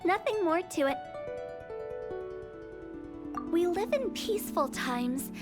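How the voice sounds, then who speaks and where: A cheeky, eccentric young funeral director from a video game. Her voice sits high and she speaks with theatrical, teasing flair over prominent music. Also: very animated, moderate pace. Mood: playful, teasing. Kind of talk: scripted video game voice acting.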